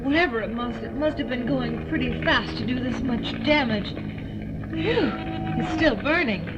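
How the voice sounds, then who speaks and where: A woman's voice: high-pitched, moderate pace, muffled recording, loud music behind the voice, lightly acted. A woman playing a wary, impatient female character in a sci-fi audio drama, urgent and questioning. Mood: alarmed, urgent.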